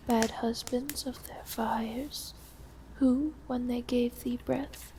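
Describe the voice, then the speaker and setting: Lady doing a vampire countess asmr thing, soft whispery higher voice, really slow, posh polite hostess, kinda sly, close up mic. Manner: formal, genteel, old-fashioned phrasing. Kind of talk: ASMR roleplay. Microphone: close binaural microphone. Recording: close binaural whisper with audible hiss.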